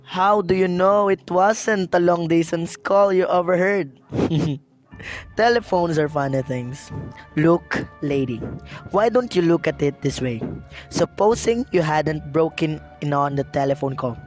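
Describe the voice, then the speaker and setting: Unhurried, dismissive police sergeant played by a man. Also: on the high side, faint music behind, natural voice. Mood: skeptical. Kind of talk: radio drama acting.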